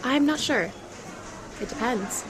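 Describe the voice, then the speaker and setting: A woman's voice: fairly high pitch, expressive, a little slow. A woman acting in an audio drama as a flirtatious professional on a coffee date, self-assured and coy. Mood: flirtatious, curious.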